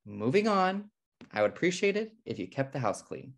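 A man's voice: on the high side, big pitch swings, moderate pace, creaky, exaggerated voice. A man playing a fussy, tidy, exasperated brother in a comedy table read. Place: indoor room on a video call. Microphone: video call.

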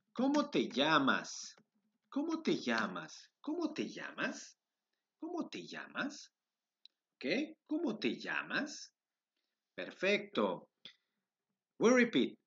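A young man teaching a Spanish lesson over a webcam, drilling phrases again and again and switching into halting English. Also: fairly high pitch, a bit bright, dull audio. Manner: slow, repetitive drilling of phrases. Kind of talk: online language lesson, mixing Spanish and English.

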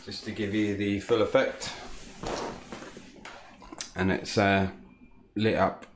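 A man from the United Kingdom, a home cockpit builder, calmly walking through his hobby build with frequent "uh" fillers. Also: fairly low pitch, noisy background. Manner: calm, unhurried, frequent "uh" fillers. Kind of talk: casual hobby walkthrough.

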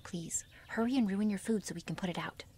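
A woman voicing a wary, possessive young female werewolf in an intimate ASMR roleplay, breathy and half-whispered, with halting, formal phrasing. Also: mid-range voice, a little mellow, a little hiss or hum, lightly acted. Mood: wary, reluctant. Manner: halting, stiffly formal phrasing without contractions.

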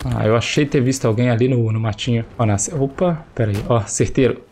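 A man's voice: mid-range voice, a little fast, a little mellow, slight background noise. Young Brazilian man with a cold. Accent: Brazilian Portuguese. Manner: chatty, slangy, exclaims at the action. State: recovering from a cold, voice slightly affected. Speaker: gameplay streamer. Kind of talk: casual gameplay commentary. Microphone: headset. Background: faint game gunfire and explosions.

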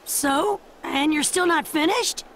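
A woman voicing a brash, stubborn, loyal teenage boy in an American-accented anime dub, with a raspy edge. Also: sits high, slow, a bit bright, a little hiss or hum, full character voice. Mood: eager, curious. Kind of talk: English anime dub acting. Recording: music behind the voice.

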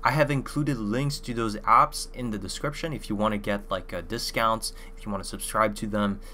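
A young man talks straight to camera on a desk mic, loose and rambling, leaning on filler words. His voice sits a little low but sounds bright and forward. A faint hum runs under the recording. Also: fairly even intonation, moderate pace. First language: French. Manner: loose, filler-heavy, with many "like" and "you know". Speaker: language-learning YouTuber. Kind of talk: casual review to camera. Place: small room indoors.

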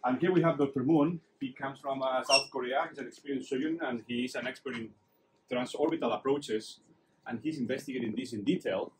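A middle-aged man, a neurosurgeon leading a casual tour of an anatomy lab, praising the work in an enthusiastic, halting way with many fillers. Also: mid-range voice, steady tone, background noise.

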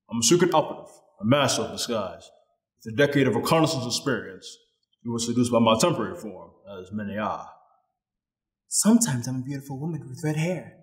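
A man's voice: mid-range voice, natural voice. A man playing a smug secret operative and master of disguise in a radio play, theatrical and taunting. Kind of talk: stage-style radio drama acting. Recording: roomy, echoing sound.

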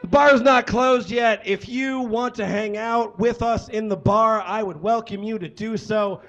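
A man's voice: high voice, steady tone, a little slow, a bit bright, dull audio. A middle-aged man hosting a live comedy podcast show, addressing the room casually with swearing, exuberant, on a handheld stage microphone. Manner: exuberant, often raising his voice. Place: small indoor stage in a bar.

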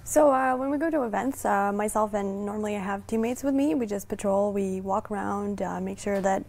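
Female, young adult, interview guest, mid-pitched with a fry-laden tone. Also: fairly quick, darkish tone. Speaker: volunteer ski patroller, interview guest. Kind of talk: conversational interview answers. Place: TV studio, indoors. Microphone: lapel mic.

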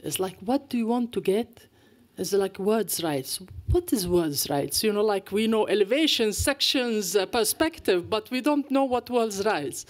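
A woman's voice: mid-range voice, unhurried. Older woman lecturing at a podium. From Palestine. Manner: anecdotal and animated, with gestures. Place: lecture hall. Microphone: podium microphone.

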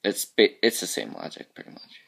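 A man walking through how he built his game levels, talking casually with a groggy, raspy voice because he has just woken up, often trailing off into quiet mumbles. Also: normal speed. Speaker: level creator showing his game work. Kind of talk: casual screen-capture walkthrough.

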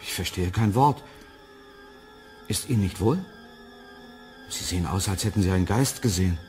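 An actor playing an earnest, conciliatory scientist in a 1980s radio play. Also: male voice, sits low, moderate pace, darkish tone, faint music behind, close to an everyday voice. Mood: concerned. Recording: faint background ambience noise.

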